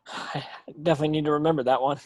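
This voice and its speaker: A man's voice: fairly high pitch, steady tone, fast, darkish tone, close to an everyday voice. A man playing an earnest, modest schoolboy wizard, plain-spoken, in a script table read.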